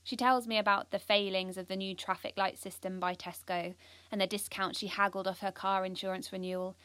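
A woman's voice: medium pitch, flat delivery, a little fast, a bit bright, dry recording, close to an everyday voice. A young British woman playing an earnest, ambitious, anxious schoolgirl who confides her story in an audio drama. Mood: reflective. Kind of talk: first-person audio drama narration.

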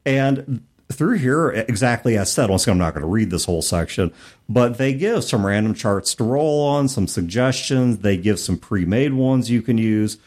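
Lively, animated man on a podcast. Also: lowish voice, moderate pace. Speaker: podcast co-host. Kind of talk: casual discussion, reading rulebook passages aloud.

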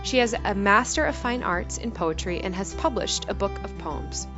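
A woman's voice: mid-range voice, animated, normal speed. A woman podcast host reading a scripted introduction of her guest in an eager, enthusiastic way. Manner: warm, enthusiastic read. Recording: dull audio with music behind.

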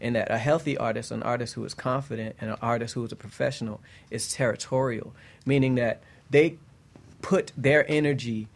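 A man's voice: medium pitch, steady tone, fairly quick, vocal fry. A man in a casual panel discussion, speaking emphatically and conversationally and often checking that listeners follow.

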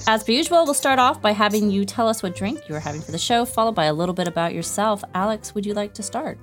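A woman hosting a podcast interview, reading a scripted opener and asking questions in a measured way with hesitant fillers. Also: medium pitch, lively intonation, soft background music. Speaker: podcast host.

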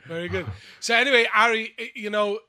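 A man hosting a radio show from a studio, talking excitedly with lots of fillers and restarts. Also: high voice, a little fast, a crowd in the background. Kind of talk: lively radio chat.

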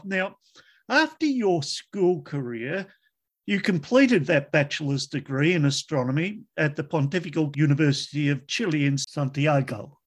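A fairly high-pitched man hosts a science interview show. He reads his introductions with bright enthusiasm and asks his questions in a lively, upbeat way. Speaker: podcast host.

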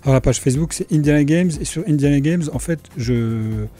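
Dark-voiced hesitant French man. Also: fairly high pitch, brisk. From France. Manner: hesitant, many fillers and restarts. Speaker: guest, video producer. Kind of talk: casual interview answers.

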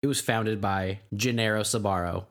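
A man's voice: on the low side, moderate pace. A man co-hosting a podcast in loose, joking chat, laughing often as he talks. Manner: joking, laughs often, lively rises and falls. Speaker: podcast co-host.

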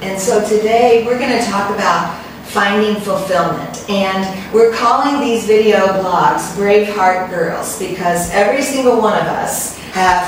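A woman co-pastor hosting a church women's group discussion, encouraging and affirming as she talks. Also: mid-range voice. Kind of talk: warm church talk. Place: church resource center. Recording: echoey room from a distance, noisy.

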